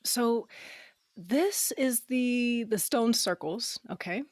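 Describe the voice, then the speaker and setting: A practical, level-headed wife on a quest, played by a woman in a fantasy audio drama. Her delivery is slow and deadpan, with dry humour. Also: on the high side, no echo. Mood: practical, amused.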